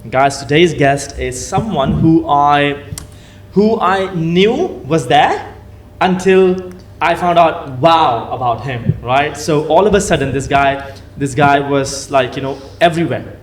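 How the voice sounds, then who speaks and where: A man hosting a podcast, introducing his guest eagerly and stumbling through fillers and restarts. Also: mid-range voice. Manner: enthusiastic, hesitant with fillers and restarts. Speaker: podcast host. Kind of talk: casual interview hosting. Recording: distant mic with faint noise.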